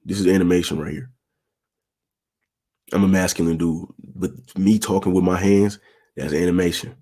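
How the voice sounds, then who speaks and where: A young man hosting his channel, giving casual advice to viewers in a laid-back way, with a low, monotone, raspy voice. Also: normal speed. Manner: laid-back, peppered with "you feel me", talks with his hands. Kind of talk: casual advice talk answering viewer questions.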